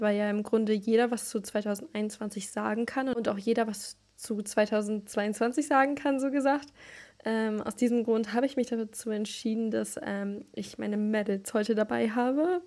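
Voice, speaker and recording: Young woman podcast host, chatty and slangy, kinda high voice. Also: mellow. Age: young adult. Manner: chatty, uses youth slang. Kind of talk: casual chat.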